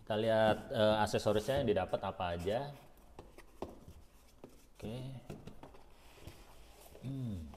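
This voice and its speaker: A man's voice: on the low side, slow pace, pauses often, noisy background. A man reviews a product in a casual, unscripted vlog style, thinking aloud informally and hesitantly as he goes.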